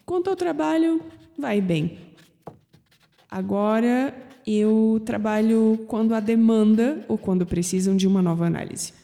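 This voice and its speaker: A woman's voice: mid-range voice, creaky, dark tone, big-room sound. A young woman narrating an audio drama in a wry, understated way as its protagonist. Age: young adult.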